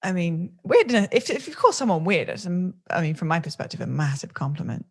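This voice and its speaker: A woman's voice: lowish voice, big pitch swings, fairly quick, slightly rough. A woman hosting a podcast over a video call, talking in a gushing, dreamy way. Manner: gushing, dreamy, with playful put-on voices. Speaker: podcast host.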